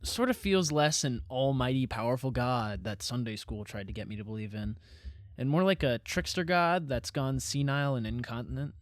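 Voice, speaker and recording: A man's voice: medium pitch, lively intonation, normal speed, lightly acted. Guy playing a tired wounded best friend in an audio drama, dry wry talk, bit hesitant, no room echo. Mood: wry, casual. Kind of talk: naturalistic audio drama dialogue.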